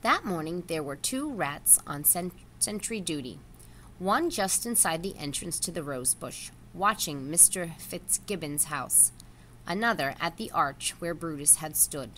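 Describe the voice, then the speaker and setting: Low-pitched woman reading a children's story. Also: expressive, measured pace, fairly bright tone, a little hiss or hum. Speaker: story reader. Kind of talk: scripted read-aloud of a children's novel.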